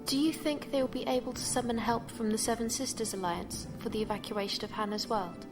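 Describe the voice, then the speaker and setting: An actress playing a composed, formal ship's artificial mind, speaking precisely and with measured care in a science fiction audio drama. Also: female voice, a little high, steady tone, close to an everyday voice. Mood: concerned. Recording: music behind the voice.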